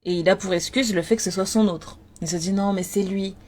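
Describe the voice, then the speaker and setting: A woman talking earnestly and directly to her listeners, repeating words and pausing on fillers as she gives spiritual advice. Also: medium pitch, fairly even intonation, a little mellow. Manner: earnest, repetitive, lots of fillers. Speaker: spiritual advice content creator. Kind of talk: casual talk.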